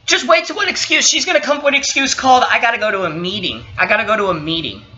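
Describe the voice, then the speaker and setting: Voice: mid-range voice, lively intonation, very fast, pushed and bright, lo-fi sound. An indignant commentator giving impromptu, opinionated reactions, swearing and stressing points emphatically. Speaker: commentator reacting to a recorded call.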